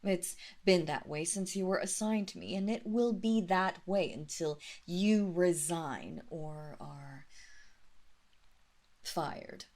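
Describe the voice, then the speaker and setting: A woman playing an imperious, coolly menacing vampire mistress in a roleplay audio drama, speaking formally and condescendingly, at times dropping into a breathy whisper. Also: fairly low pitch, darkish tone. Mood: cool, commanding. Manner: slow, deliberate, formal, condescending.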